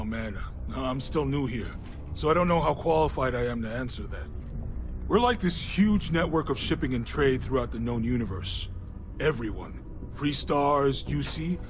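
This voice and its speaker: A young store clerk in a sci-fi game, slightly high in pitch. He is slangy, easygoing and eager, and music plays clearly behind him. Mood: laid-back. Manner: slangy, laid-back, eager. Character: easygoing, chatty young male space-shop clerk. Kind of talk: video game dialogue.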